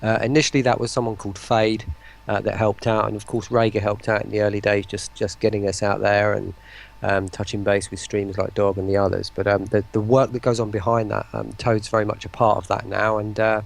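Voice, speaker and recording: A man chatting casually as an interview guest, speaking in a hesitant, halting, self-deprecating way. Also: lowish voice, level tone, vocal fry. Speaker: interview guest, voice pack creator and writer.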